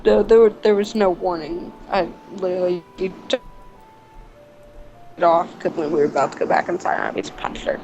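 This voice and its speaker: A woman's voice: fairly low pitch, moderate pace, a little mellow, highs cut off, soft background music. A young woman chatting casually and telling rambling stories, with a giggly manner. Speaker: video co-host. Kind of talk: casual storytelling chat over a game.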